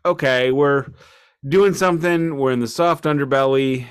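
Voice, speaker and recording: A man's voice: mid-range voice, normal speed, clean tone. A man co-hosting a podcast, chatting casually about music in an opinionated, rambling way with stammered repeats. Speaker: podcast co-host.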